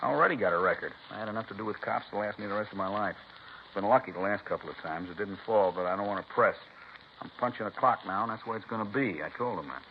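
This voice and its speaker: Man playing wary crook in old radio show, talks really fast, tough n slangy, lowish, muffled. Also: natural voice. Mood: wary, explaining. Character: defensive, streetwise male ex-con, wary but talkative. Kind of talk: 1950s radio drama acting. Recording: muffled, noisy 1950s radio recording.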